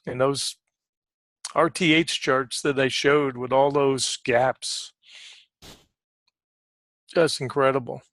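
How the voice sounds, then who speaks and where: A man giving informal live market commentary as a trading coach, conversational and repetitive for emphasis, often clearing his throat. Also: mid-range voice, level tone, slow, long pauses, a bit bright, no room sound, studio quality.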